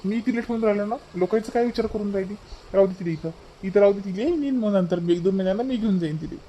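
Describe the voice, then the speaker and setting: A man's voice: sits high, quick pace, warm tone, slight background noise, close to an everyday voice, rough recording. A man voicing a breezy young married son in a cartoon family comedy, insistent and reassuring, with a Vidarbha Marathi accent.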